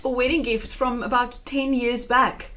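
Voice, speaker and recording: A woman's voice: on the high side, little pitch movement, unhurried, fairly bright tone. A woman in her thirties whose first language is Afrikaans, chatting her way through a home decluttering vlog and gushing over keepsakes. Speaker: home organizing vlogger.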